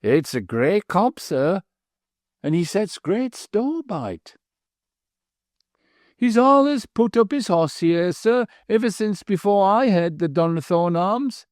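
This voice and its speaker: A man's voice: high voice, animated, takes it slowly, a little mellow, dry recording, clean studio sound, voice pushed up. A man voicing a pompous former butler turned innkeeper in a treble, wheezy, slightly mincing voice, deferentially calling everyone sir. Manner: deferential, says sir constantly.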